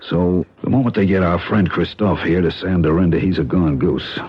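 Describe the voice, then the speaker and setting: A man's voice: low-pitched, moderate pace, slightly rough. An actor playing a tough male government agent in a 1970s radio drama, dry and matter-of-fact. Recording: dull, faintly hissy old radio broadcast.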